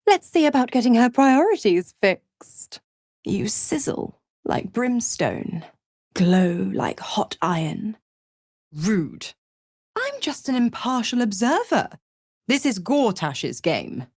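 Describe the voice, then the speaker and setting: A woman's voice: on the high side, sing-song, slow pace, darkish tone, dry recording, studio quality, full character voice. A woman voicing a sly, seductive devil in a video game, mocking and condescending, with a teasing theatrical drawl. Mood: coolly mocking. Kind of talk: video game character acting.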